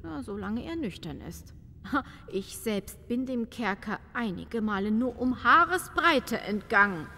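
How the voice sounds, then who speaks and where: A woman's voice: fairly high pitch, big pitch swings, a little slow, sharp tone, a little hiss or hum, exaggerated voice. A woman playing an inquisitive, haughty but polite castle countess in a fairy-tale audio comedy, courtly and exclamatory. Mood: gossipy. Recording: long reverberant hall sound.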